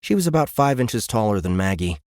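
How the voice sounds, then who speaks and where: A man's voice: lowish voice, sing-song, fairly quick, a little mellow, dry recording, studio quality. A man reading scripted fiction as an audiobook narrator in a dramatic storytelling style. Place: dry recording studio.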